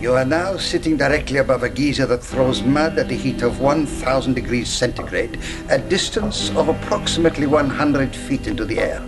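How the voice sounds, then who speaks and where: An actor playing a cold, pompous villain in a radio play, speaking in a formal, measured and menacing way. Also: male voice, mid-range voice, over music, natural voice. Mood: cold menace. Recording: loud film score behind voice.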